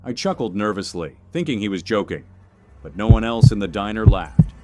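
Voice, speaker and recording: Very deep male horror story narrator. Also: normal speed, a little mellow, faint music behind. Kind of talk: scripted first-person horror story narration.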